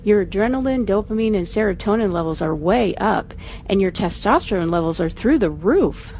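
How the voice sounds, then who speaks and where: A woman playing a brisk, authoritative starship doctor in a science fiction audio drama, clipped and clinical in manner. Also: medium pitch, expressive, a little mellow, a little hiss or hum, natural voice. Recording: music behind the dialogue.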